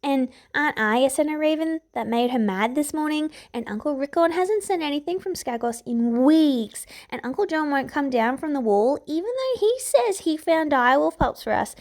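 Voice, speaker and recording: An earnest girl of about nine, voiced by a woman narrator. Her voice is high and girlish, and she explains things in long chattering runs, as in a fantasy storybook. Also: lively intonation, normal speed, hardly any pauses. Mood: earnest, confiding. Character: earnest nine-year-old princess, eldest sister.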